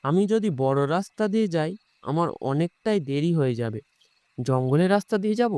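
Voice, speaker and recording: A man playing an ordinary, impulsive young man in an animated horror story, conversational and often repeating his words. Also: on the high side, normal speed, clear, resonant tone, no room sound, natural voice. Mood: musing, hesitant.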